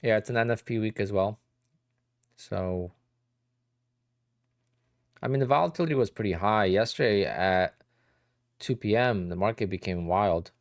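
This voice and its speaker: A man in his thirties with a Polish accent talks casually to a live-stream audience, tired and a little sleepy. His voice sits low with a gravelly edge. The sound is close and dry, with mouse clicks now and then. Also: moderate pace, pauses often, no room sound. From Poland. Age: 30s. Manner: chatty, answers viewer questions with many "like"s and "you know"s. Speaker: day trader streaming live.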